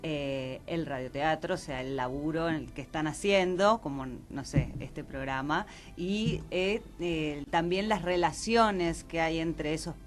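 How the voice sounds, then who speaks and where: A woman's voice: sits low, measured pace, few pauses. A woman with an Argentine Rioplatense accent giving a casual interview, informal and agreeable, with hesitant phrasing. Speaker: theatre director, interview guest. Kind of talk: casual radio interview chat.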